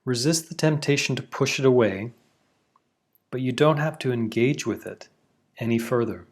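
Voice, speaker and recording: A man leading a guided meditation, giving slow, soothing instructions with long pauses. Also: medium pitch. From Canada.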